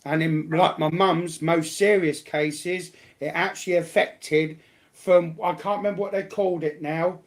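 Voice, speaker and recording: A man's voice: on the high side, steady tone, a little slow. A blunt, sweary man chatting casually as a guest on a livestream.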